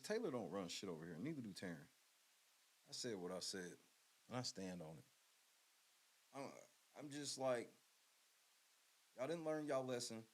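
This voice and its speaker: A man hosting his own show, talking bluntly and profanely in a casual, swaggering way with loud outbursts. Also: medium pitch, very animated, moderate pace, long pauses, low-quality recording. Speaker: podcast host.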